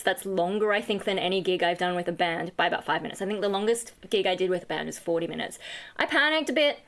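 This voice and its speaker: A young woman with a high voice, rambling tiredly in a casual vlog chat, full of fillers and self-deprecating asides. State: tired, running on fumes. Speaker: vlogger and singer.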